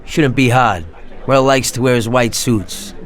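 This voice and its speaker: Male actor as confident mob hitman, medium pitch, streetwise, tough, crime game drama. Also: moderate pace, lightly acted. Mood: businesslike. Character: confident mafia hitman laying out plans, tough and brisk. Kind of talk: dramatic video game dialogue.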